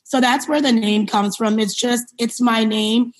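A woman's voice: a little high, fairly even intonation, unhurried. A woman who grew up in New York City, answering in a conversational interview as a company founder, reflective and explanatory. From born in Liberia, grew up in New York City.

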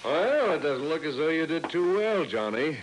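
An actor playing a friendly, reassuring office acquaintance in a 1960s radio drama. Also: male voice, fairly high pitch, very animated, moderate pace. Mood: sympathetic. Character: friendly, helpful male office acquaintance of the detective. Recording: faintly noisy old radio recording.

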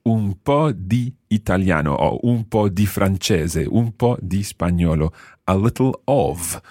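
A man with a low, slightly husky voice leads a language lesson. He talks with a lively rise and fall, repeating phrases slowly so they sink in. The sound is dry and close. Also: no echo. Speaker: language teacher and host. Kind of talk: explaining and quizzing co-hosts.

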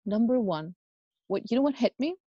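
A woman's voice: a little high, expressive, moderate pace, dark tone, husky, no echo, dull audio. A woman from the United States speaking as an interview guest in an earnest, conversational way, emphatic and fond of anecdotes and rhetorical questions. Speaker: podcast guest, Islamic educator and youth workshop leader.

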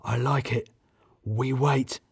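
A man's voice: mid-range voice, steady tone, slow pace, vocal fry, slightly rough, no echo, studio quality, heavily acted. A man voicing a jittery, self-important male office manager who hisses and talks melodramatically. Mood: manic. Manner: melodramatic, hissing, manic.

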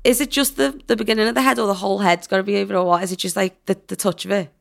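Female co-host, hungover, gravelly, rambling casual chat. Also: mid-range voice, steady tone, very fast. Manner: rambling, hesitant, laughs often.

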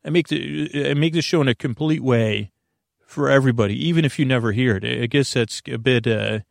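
A man with a gravelly, fry-laden voice records very close and dry. He rambles through an unscripted bedtime podcast introduction, circling back on himself and restarting his sentences as he goes. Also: medium pitch, a little fast, dry recording. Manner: voices imagined listener objections and answers them. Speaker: podcast host.

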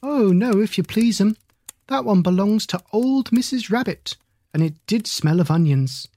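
A woman's voice: mid-range voice, expressive, measured pace, dark tone, pitched above the performer's own voice. A woman voicing a stout, anxious female hedgehog washerwoman who talks in a deferential, old-fashioned polite way. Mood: fussy polite.

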